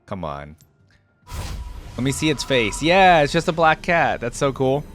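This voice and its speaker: A man's voice: medium pitch, sing-song, measured pace, a bit bright, faint music behind. A man hosting a casual reaction commentary, speaking informally and musing aloud. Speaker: reaction video host.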